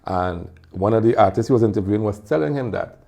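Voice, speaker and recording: A man with a Trinidadian accent, talking as a guest in a casual interview and telling animated stories with frequent laughter. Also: lowish voice, quick pace, vocal fry. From Trinidad and Tobago. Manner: animated storyteller, laughs often. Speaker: guest, music video producer and singer.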